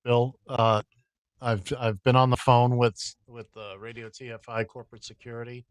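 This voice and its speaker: A man's voice: mid-range voice, little pitch movement, normal speed, clear, resonant tone. A man co-hosting a casual radio show, trading jokes and teasing his co-host. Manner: teasing, joking.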